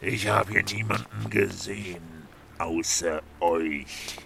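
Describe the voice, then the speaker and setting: Man playing a gravelly, menacing, low-voiced strange loner. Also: takes it slowly, pushed and bright, heavily acted. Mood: hostile, eerie. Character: strange, hostile male loner guarding a haunted house. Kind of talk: German audio drama acting.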